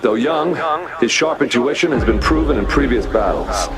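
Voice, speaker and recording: A man's voice: medium pitch, expressive, normal speed, natural voice. Man as a formal, dutiful military officer. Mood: dutiful, concerned. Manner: formal, crisp military reporting. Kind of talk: video game voice acting.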